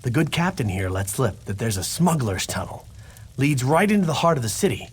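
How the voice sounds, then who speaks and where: A charming, self-assured knight in a fantasy game. His voice has a husky edge and a lively, swinging pitch. He talks with playful confidence. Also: a little fast.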